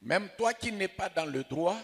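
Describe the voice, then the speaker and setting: High-pitched male preacher in a reverberant hall. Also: animated, moderate pace, gravelly, breathy edge. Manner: emphatic, repetitive, swings between quiet asides and shouted declarations. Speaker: pastor preaching. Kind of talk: sermon delivered phrase by phrase for an interpreter. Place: large echoing hall.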